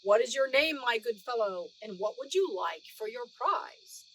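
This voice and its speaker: A woman's voice: on the high side, lively intonation, unhurried, slight background noise, poor audio. A woman voicing an earnest, formal, easily flustered male museum judge in a storybook read-aloud, given to exclaiming.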